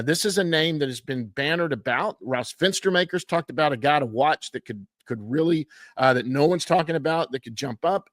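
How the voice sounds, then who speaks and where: A man's voice: a little high, a bit bright, no echo. A man hosting a podcast, recapping minor league baseball in a casual, folksy way, full of fillers and stumbles over players' names. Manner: self-deprecating, folksy.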